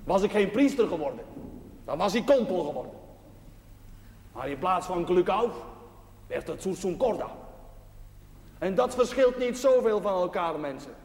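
An actor playing a plain-spoken, earnest village chaplain, preaching a dramatic sermon in short, deliberate phrases. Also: male voice, high voice, expressive, darkish tone. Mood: wry. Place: large reverberant interior. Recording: echoey church hall, noisy old TV audio.

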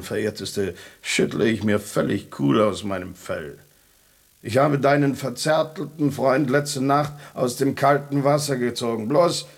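A man's voice: fairly high pitch, creaky, exaggerated voice. A man playing a scornful, proud lone tomcat in a radio drama, speaking in a haughty, sardonic, mock-formal way. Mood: indignant.

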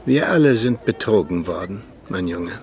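An actor playing a cynical, disillusioned Soviet agent and former mentor in a radio drama, confessing in weighty, deliberate phrases. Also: male voice, low-pitched, expressive, music in the background. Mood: weary, resigned. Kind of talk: German radio drama acting.

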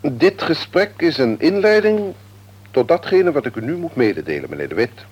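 An actor playing a stern, pompous company executive in a radio play, with officious, formal phrasing. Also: male voice, fairly high pitch, very animated, moderate pace, darkish tone, slightly rough, lo-fi sound. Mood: stern, reproving. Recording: old radio play recording.

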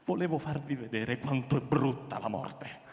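A man's voice: mid-range voice, moderate pace, darkish tone, lightly acted. An actor playing a bitter, defiant, dying old man in a theatrical radio drama. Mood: bitter, angry. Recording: reverberant hall sound.

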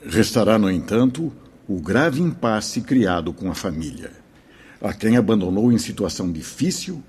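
A man with a Brazilian accent playing a wise, fatherly priest and spirit mentor in a radio drama, speaking in a measured, solemn, didactic way. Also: fairly low pitch, lively intonation, darkish tone, breathy edge. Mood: grave. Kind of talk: Portuguese-language radio drama acting.

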